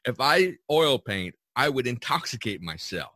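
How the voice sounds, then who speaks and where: A man's voice: mid-range voice, big pitch swings, normal speed, bright, forceful tone, slightly rough, dry recording, studio quality. A man hosting a podcast in casual, rambling, chatty conversation with artist friends. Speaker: podcast host. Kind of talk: casual chat among artists.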